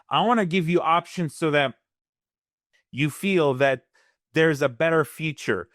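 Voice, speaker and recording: A man's voice: mid-range voice, fairly bright tone, no room sound, clean studio sound. A man from Gaza whose first language is Arabic, speaking earnestly as a guest analyst in an interview, often repeating words as he starts a sentence.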